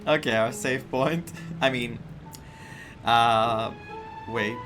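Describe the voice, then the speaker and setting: A man's voice: medium pitch, drawn-out words, poor audio. A man from Greece with a Greek accent, a non-native English speaker giving casual commentary as he plays a game. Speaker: game streamer. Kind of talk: casual playthrough commentary, reading dialogue aloud. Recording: game music behind the voice.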